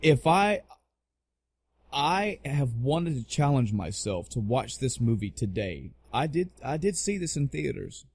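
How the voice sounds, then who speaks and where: A man's voice: medium pitch, very animated, measured pace, darkish tone, husky. A man in his thirties chatting casually on a podcast, blunt and joking, swearing freely and repeating phrases for emphasis. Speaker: podcast co-host. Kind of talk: casual opinionated podcast chat.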